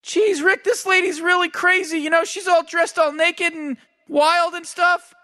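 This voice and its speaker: A man's voice: extremely high pitch, fairly even intonation, unhurried, sharp tone, exaggerated voice. A man voicing a nervous, timid teenage boy sidekick who stammers and whines. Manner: stammering, anxious, whiny. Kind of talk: comedic commentary on game characters.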